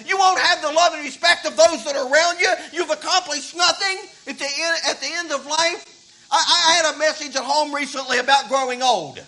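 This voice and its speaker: A man's voice: extremely high pitch, a little fast, sharp tone, poor audio. A man, preaching a sermon in a folksy way that repeats words as he starts thoughts. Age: 50s. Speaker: pastor.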